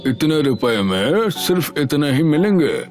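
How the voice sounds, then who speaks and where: A man's voice: fairly high pitch, very animated, measured pace, soft background music. A man voicing a greedy, curt shopkeeper who talks dismissively in a cartoon dub.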